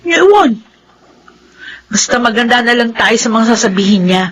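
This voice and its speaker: A woman's voice: on the high side, expressive, normal speed, a bit bright, slight background noise. A woman playing a curt, hard-hearted young older sister in a Filipino radio drama. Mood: irritated, dismissive. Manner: curt, dismissive. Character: defiant, hard-hearted grown daughter and bossy older sister.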